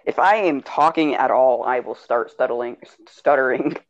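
A man co-hosting a casual podcast over online voice chat while gaming, joking and talking in quick rambling bursts. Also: mid-range voice, muffled recording. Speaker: podcast co-host.

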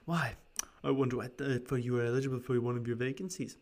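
A man's voice: mid-range voice, fairly even intonation, fast talker, darkish tone, natural voice. A man voicing an eager, persuasive Victorian shop assistant from a storybook, explaining in an emphatic, coaxing way.

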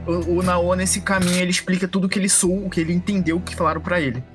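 A man's voice: sits high, fairly even intonation, quick pace, faint music behind. A man with a Brazilian accent, a blunt, slangy player casually role-playing. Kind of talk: casual tabletop role-play.

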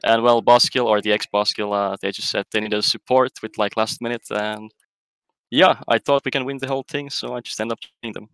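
A man's voice: mid-range voice, steady tone, fairly quick, vocal fry, a bit bright, smooth, no echo, rough recording. A man from Finland, an esports player chatting casually as a panel guest. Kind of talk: casual chat.

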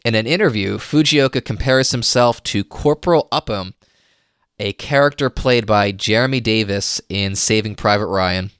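A man's voice: on the low side, normal speed, fairly bright tone, clean tone, dry recording, studio quality. A man hosting a podcast, reading a scripted history segment with casual asides. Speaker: podcast host.

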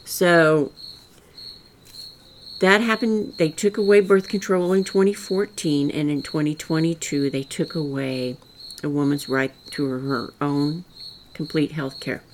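Older woman, rural missouri accent, deep voice, folksy rambling podcast host, faint noise. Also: fairly even intonation, measured pace. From rural Southwest Missouri. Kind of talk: solo political commentary.

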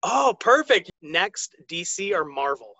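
A man's voice: high voice, big pitch swings, takes it slowly, bright, forceful tone, no room sound. An American man speaking as the host of a sports podcast, interviewing a guest.

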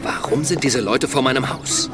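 A man's voice: medium pitch, normal speed, distant mic, over loud music, over a noisy crowd, natural voice. A man playing a stern, humorless police detective who talks curtly and indignantly in a dubbed TV comedy. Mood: baffled, indignant. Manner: curt, indignant. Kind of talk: dubbed TV comedy crime series acting.

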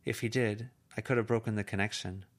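A man's voice: lowish voice, fairly even intonation, fairly quick, voice pushed up, close to an everyday voice. A man reading an amateur audiobook, voicing an anxious, earnest teenage girl who pleads hesitantly. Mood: worried.

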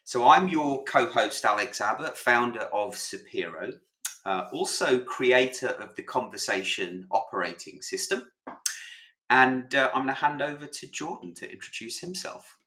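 A man co-hosting a podcast in a relaxed, chatty way, with frequent "uh"s. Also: mid-range voice, normal speed, reverberant, rough recording. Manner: relaxed, lots of fillers. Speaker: podcast co-host. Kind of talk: casual podcast chat.

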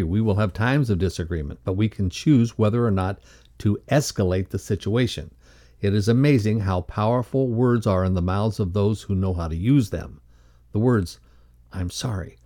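A man with a low, dark and relaxed voice reads a scripted self-improvement lesson as a podcast co-host. His tone is mellow, set a little deeper than most. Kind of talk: scripted reading of a leadership lesson.

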